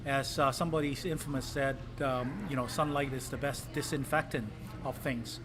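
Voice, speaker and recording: A man from Hong Kong, a politician giving earnest interview answers with many pauses and fillers. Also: mid-range voice, steady tone. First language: Cantonese. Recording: clip with noisy ambience.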